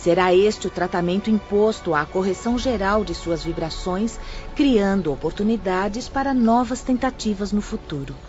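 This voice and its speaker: A woman playing a gentle female spirit instructor who explains things calmly and kindly to new apprentices in an audio drama. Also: mid-range voice, moderate pace, faint music behind, close to an everyday voice. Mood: patient. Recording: slightly dull, faint background hiss.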